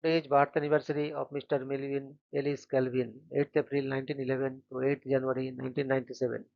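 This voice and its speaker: A man hosting a daily channel, reading short scripted biographies in a flat, steady way and stumbling now and then on names. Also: mid-range voice, fast, vocal fry, dull audio. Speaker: host of a daily events channel.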